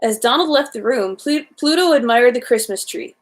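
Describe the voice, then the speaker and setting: A woman's voice: fairly high pitch, expressive, moderate pace. A woman hosting a children's story time, reading a storybook aloud in an informal way with small stumbles.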